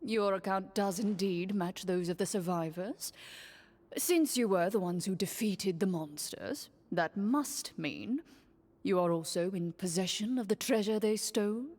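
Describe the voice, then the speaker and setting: A woman's voice: medium pitch, measured pace, darkish tone, natural voice. A woman putting on a pompous prime minister. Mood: condescending. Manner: pompous, condescending. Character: smug scheming male prime minister.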